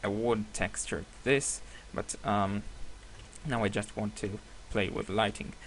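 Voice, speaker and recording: A man whose first language is Hebrew, giving a screen-recorded software tutorial with many hesitations and self-corrections. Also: fairly low pitch, level tone, measured pace, slight background noise, low-quality recording. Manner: apologetic, laughs at himself.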